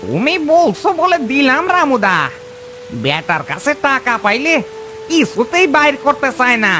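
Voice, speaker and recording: A man's voice: very high voice, very animated, unhurried, background noise, heavily acted. A man playing a brusque, grudging village shopkeeper in a cartoon. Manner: brusque, colloquial. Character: grudging, gruff village shopkeeper refusing credit. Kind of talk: Bengali moral-story cartoon acting.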